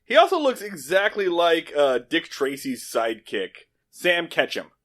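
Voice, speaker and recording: A man's voice: on the high side, big pitch swings, a little slow, a bit bright. A man co-hosting a podcast, giving a casual, comedic, conversational recap and repeating words for emphasis. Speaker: podcast co-host.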